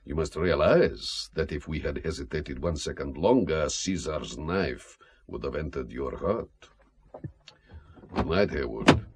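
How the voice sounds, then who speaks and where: An actor playing a suave, worldly Russian KGB colonel in a radio spy drama, urbane and deliberate with dry amusement. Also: male voice, a bass voice, expressive, breathy edge, heavily acted. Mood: cool, measured. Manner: urbane, deliberate, drily amused, formal phrasing.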